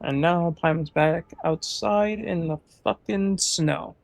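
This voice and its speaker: A man's voice: on the high side, takes it slowly, vocal fry, no room sound. A man giving casual reaction commentary over gameplay, talking in a blunt, sarcastic, sweary way. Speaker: game streamer.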